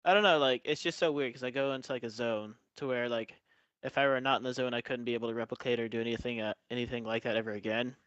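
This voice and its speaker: A man's voice: mid-range voice, fairly even intonation, fast talker, a bit bright, no echo, highs cut off. Rambling young man with fillers. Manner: rambling with many fillers, laughs between phrases. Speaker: podcast co-host. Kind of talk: casual chat among friends.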